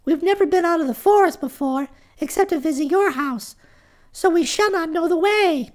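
A man voicing a proud young talking deer in a very high, squeaky falsetto for a storybook reading. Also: moderate pace. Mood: earnest.

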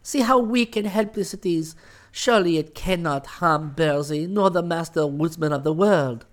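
A man's voice: on the high side, normal speed, voice pushed up, natural voice. A man voicing a young female forest nymph from a storybook, earnest, tender and boldly pleading.

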